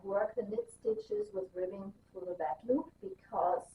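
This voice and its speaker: A woman's voice: very high-pitched, huge pitch swings, measured pace, vocal fry. A woman from Germany, chatting casually and enthusiastically as host of her own knitting vlog, with frequent ums and restarts. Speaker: knitting designer and podcast host. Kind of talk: casual chat. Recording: roomy, distant, dull sound with faint hiss.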